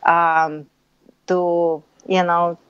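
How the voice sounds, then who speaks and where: A woman's voice: fairly low pitch, little pitch movement, extremely slow, fairly bright tone, clear, resonant tone. A woman in her thirties from Russia, with a Russian accent, speaking frank, eager, slightly broken English as an interview guest over a video call. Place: indoor room at home. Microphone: earbud headset mic on a video call. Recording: dry, slightly dull call audio.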